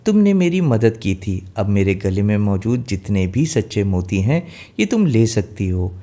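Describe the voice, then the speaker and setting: A man's voice: fairly low pitch, big pitch swings, moderate pace, mellow. A man voicing a small shaggy dog in a storybook tale, polite and earnest. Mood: grateful.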